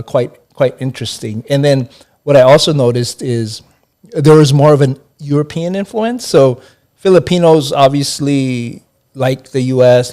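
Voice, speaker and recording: A middle-aged man from Hawaii, a guest in an interview, talking in a relaxed, hesitant way with many fillers and restarts. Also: medium pitch. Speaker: interview guest, accounting professor.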